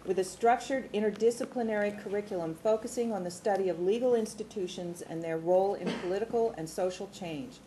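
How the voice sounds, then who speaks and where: A woman's voice: mid-range voice, a little fast, hardly any pauses, dark tone, a little hiss or hum, poor audio. A woman in her sixties reading formal program summaries at a board meeting in a large conference room, on a table microphone. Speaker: university administrator presenting programs.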